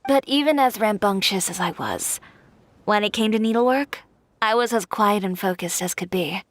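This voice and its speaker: A woman's voice: mid-range voice, animated, normal speed, dry recording, close to an everyday voice. A woman voicing a proud, headstrong young woman tailor who speaks in a cool, confident and frank way. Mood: reflective. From Inazuma.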